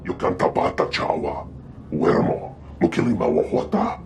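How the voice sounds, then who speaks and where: A male actor voicing a small, twitchy, excitable alien merchant in a video game, jabbering in an invented tongue with a creaky voice. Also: very deep voice, normal speed, a little hiss or hum. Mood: excited, haggling.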